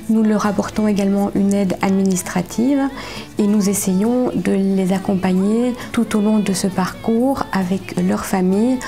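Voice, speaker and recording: A woman with a soft, mellow voice that often dips into creak. She explains things formally and in a measured way, as in an institutional presentation, over background music. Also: medium pitch. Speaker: hospital staff representative. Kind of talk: corporate presentation interview.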